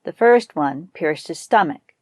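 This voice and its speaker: Female podcast storyteller, slightly low voice, expressive scripted folktale narration, very dry sound. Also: a little slow, no echo.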